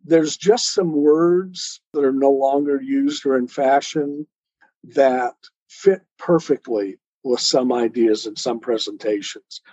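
Slow-talking man, dull lo-fi sound. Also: mid-range voice, darkish tone, no echo. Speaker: interview guest, poet and author. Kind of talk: reflective explanation of a poem in conversation. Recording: dull, lo-fi voice recording.

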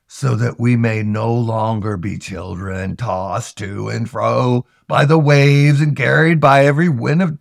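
A man gives a solemn reading of scripture at a very unhurried pace. His voice has a gravelly creak, and he declaims each phrase deliberately with dramatic pauses. The sound is dry, with no room echo. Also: mid-range voice. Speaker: scripture reader.

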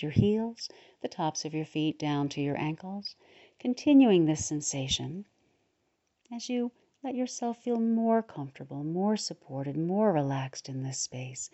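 A woman leading a guided relaxation meditation in a soothing, unhurried manner, pausing between short phrases. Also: lowish voice, dark tone, muffled recording. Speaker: guided meditation leader, podcast host.

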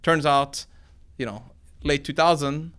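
Smooth-voiced man explaining things informally. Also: a little high, unhurried, a bit bright. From born in Iran, grew up in Sweden. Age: 40s. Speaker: tech company founder and computer science researcher being interviewed. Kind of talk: conversational interview answers.

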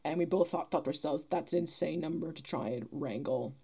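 A young woman talking casually on a podcast, halting as she thinks aloud. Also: sits low, creaky, mellow, husky. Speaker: guest, theatre producer.

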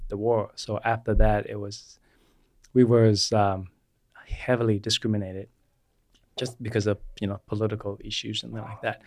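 Soft-spoken Vietnamese-born man in his forties. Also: fairly low pitch, dry recording, studio quality. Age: 40s. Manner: unhurried, with frequent fillers and restarts. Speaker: guest chiropractor. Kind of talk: personal storytelling in an interview.

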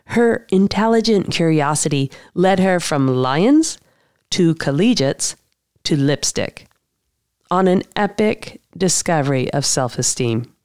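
A woman speaks as the host of an interview podcast. Her voice sits a little low. The recording is dry, with very little room sound. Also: animated, slow pace, darkish tone, no room sound. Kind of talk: scripted podcast introduction and interview.

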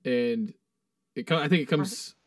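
A man's voice: a little high, unhurried, dry recording, studio quality, far from the mic. A man co-hosting a podcast, chatting loosely and swearing as he reads through a ranked song list. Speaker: podcast co-host. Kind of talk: casual chat.

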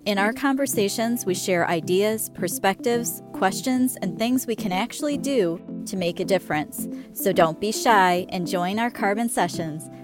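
A woman's voice: mid-range voice, normal speed, few pauses. Woman host over music. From Canada. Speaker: podcast host. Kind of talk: scripted podcast intro.

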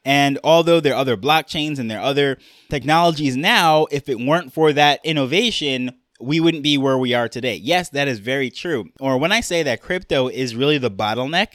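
A man's voice: mid-range voice, fairly bright tone, dry recording, studio quality. A young man with a New York accent hosting a casual solo podcast, rambling along with fillers and restarts. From Jamaica, raised in New York. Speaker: podcast host.

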